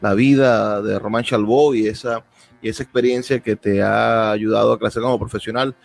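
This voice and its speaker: A man with a Venezuelan accent hosting a radio interview in a semi-formal, deliberate, wordy way. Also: on the low side, fairly even intonation, vocal fry.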